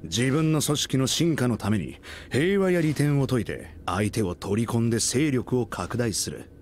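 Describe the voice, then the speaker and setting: A man plays a brash starship captain in a video game, blunt and casual. His pitch moves a lot as he argues his point, with faint ambient noise behind. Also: sing-song, moderate pace, a little mellow, close to an everyday voice. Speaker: ship captain.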